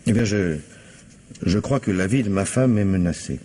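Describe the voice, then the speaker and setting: A man's voice: fairly low pitch, moderate pace, warm tone, a little hiss or hum, natural voice. An actor playing an anxious, evasive middle-aged bourgeois businessman in a radio mystery, hesitant, stammering and deferential. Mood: worried, hesitant. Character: flustered, anxious, evasive 42-year-old bourgeois businessman husband. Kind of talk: radio mystery drama acting.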